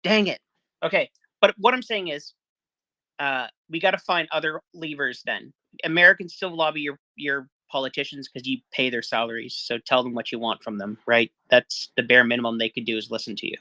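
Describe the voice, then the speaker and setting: Canadian guy joking around on a call, bright tense voice, dry sound. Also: medium pitch, fairly quick, dry recording, low-quality recording. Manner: joking, informal. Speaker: panel guest on live audio space. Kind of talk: casual discussion.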